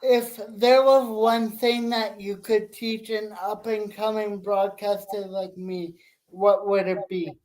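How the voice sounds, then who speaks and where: A young man hosting a sports interview, reading prepared questions in a careful, deliberate way. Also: high voice, flat delivery, darkish tone, rough recording.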